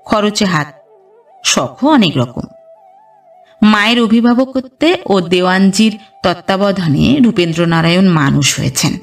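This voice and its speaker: A woman's voice: medium pitch, lively intonation, a little slow, recorded from a distance. Woman narrating a story over music. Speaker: audiobook narrator. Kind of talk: scripted literary story narration. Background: background music.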